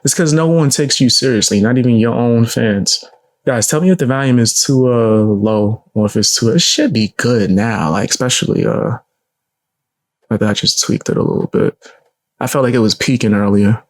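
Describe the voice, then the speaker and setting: A young man reacting on a live stream in casual, blunt, mocking talk, with a low voice and frequent swearing. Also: moderate pace. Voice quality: low gravelly voice.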